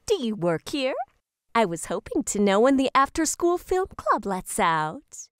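A woman playing a sweet, flirtatious, doting demon woman with a cooing, theatrical manner in an animated comic dub. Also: fairly high pitch, a little slow, no echo, clean studio sound. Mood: sugary, playful.

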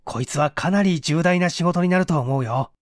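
Man playing blunt, gruff male engineer. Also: a little high, normal speed, dry recording, studio quality, close to an everyday voice. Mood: serious. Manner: blunt, brusque.

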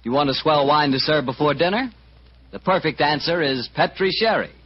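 Lively high-pitched American radio announcer, male. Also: normal speed, slightly rough. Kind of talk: 1940s radio commercial announcing. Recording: muffled, noisy old radio recording.

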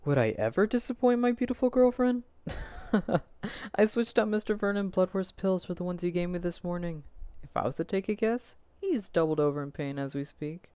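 A woman playing a smug yet hesitant accomplice to a villain in an animated roleplay drama. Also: fairly low pitch, normal speed, no room sound, studio quality, natural voice.

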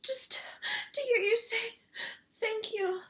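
An exasperated grown daughter acted by a woman confronts her father in a dramatic monologue. Her voice is extremely high and bright, pushing with indignant, pleading emphasis. It rings in an echoey room. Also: slow, heavily acted. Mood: hurt, pleading. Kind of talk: emotional acted monologue, self-taped audition.

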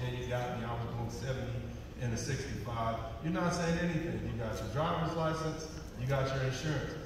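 A man on a panel giving practical advice in an emphatic, folksy way, repeating points for effect. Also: a little high. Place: on stage in a large auditorium. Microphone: recorded from the audience seats, far from stage. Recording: distant, echoey theater hall with noise.

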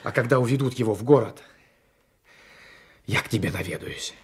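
An actor playing a passionate, vengeful gendarme and jilted lover in a radio drama, intense and insistent, often dropping to a whisper. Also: male voice, mid-range voice, fairly quick, slightly rough. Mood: threatening, urgent. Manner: intense, insistent, repeating phrases. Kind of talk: 1980s radio drama acting.

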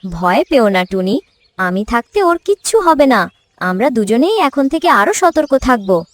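A woman's voice: on the high side, big pitch swings, unhurried, no echo. A woman voicing a brave, protective little male tailorbird in a children's cartoon, earnest and firm. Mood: reassuring.

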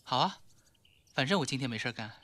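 A man playing a weary, evasive, wounded former surgeon in his thirties in an audio drama, soft-spoken and guarded. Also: mid-range voice, animated, fast, background noise, natural voice. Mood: evasive, casual. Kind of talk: audio drama acting in Mandarin.

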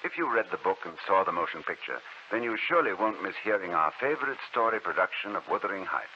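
A man's voice: low voice, sing-song, fast talker. A man hosting as announcer in a formal, polished 1940s radio style. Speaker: announcer and host. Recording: muffled 1940s radio recording, hiss.

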